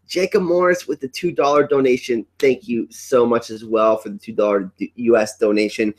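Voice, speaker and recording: A man's voice: mid-range voice, steady tone, moderate pace. A congested young man with a cough, hosting a casual livestream from home, upbeat and rambling as he greets his chat. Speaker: livestream host. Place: at home indoors.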